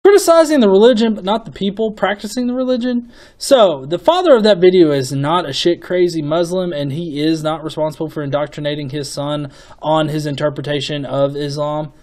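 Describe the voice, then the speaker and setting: A man giving off-the-cuff opinion commentary as a video host, in a casual, sweary manner with halting, repeated words. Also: a little high, darkish tone. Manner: casual, profanity-laden.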